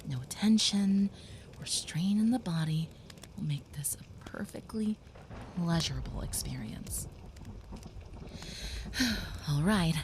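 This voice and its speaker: Woman as a kind young vampire girl, soft whispers, soothing, low-ish voice, audio roleplay, faint background noise. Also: expressive, slow, pauses often. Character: gentle young female vampire caring for a human.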